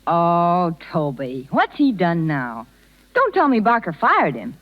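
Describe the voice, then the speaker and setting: A woman's voice: on the low side, wildly swooping pitch, takes it slowly, exaggerated voice. An American actress playing an earnest, tender young woman circus rider in a 1930s radio drama. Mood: grieving. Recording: muffled 1930s radio broadcast recording.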